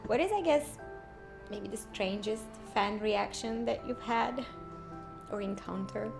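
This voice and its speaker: A woman interviewer asks questions in a halting way, repeating words as she searches for them. She laughs along with her guest. Quiet music sits faintly behind her. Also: mid-range voice, vocal fry.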